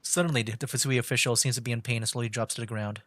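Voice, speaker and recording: Male VTuber, young adult, medium pitch, casual live gameplay reactions. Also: fairly even intonation, rapid-fire, a bit bright. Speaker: livestreamer, VTuber gamer.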